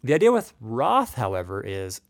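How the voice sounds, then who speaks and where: Man, 40s, financial explainer, conversational, dry close sound, fry in the voice. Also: fairly low pitch, huge pitch swings, normal speed, dry recording. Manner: conversational, frequent "right?" check-ins and restarts. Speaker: financial educator. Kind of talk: explaining retirement accounts.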